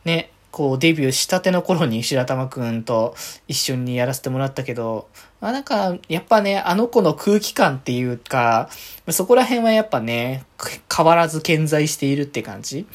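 A woman's voice: deep voice, lively intonation, normal speed. A woman from Aichi in Japan hosting a podcast alone, chatting casually and easygoing as she looks back on her week. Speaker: podcast host. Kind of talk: casual solo chat, recapping the week.

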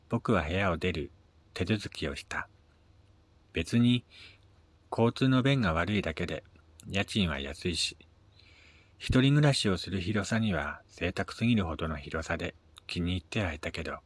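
A man narrating a first-person short story in a measured, dramatic reading with pauses. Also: sits low, a bit bright, dry recording. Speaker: amateur reader of his own short stories.

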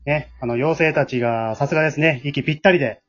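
Male host: quick, polite, chatty delivery over faint music, slightly dull sound. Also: a little high. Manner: polite, frequent backchannels. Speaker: host of amateur radio drama show. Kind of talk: casual chatty show hosting.

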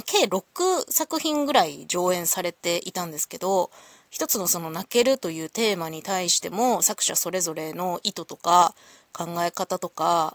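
A woman's voice: lowish voice, a bit bright, no room sound. A woman hosting a solo audio show, talking casually to listeners in a polite, reflective, explanatory way.

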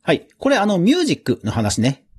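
A man's voice: high voice, huge pitch swings, fairly quick, slightly rough. A man hosting a podcast news round-up in a casual, chatty way, with informal asides and little interjections of agreement. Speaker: podcast host.

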